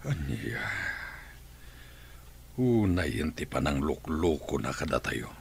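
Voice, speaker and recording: A man's voice: a bass voice, expressive, takes it slowly, a little hiss or hum, heavily acted. A man playing a concerned middle-aged father in a radio drama.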